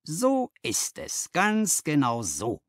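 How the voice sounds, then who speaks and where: Male reader as cool, shrewd storybook detective. Measured, pensive, highly varied intonation. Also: wildly swooping pitch, fairly bright tone, no room sound, studio quality, exaggerated voice. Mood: pensive, probing.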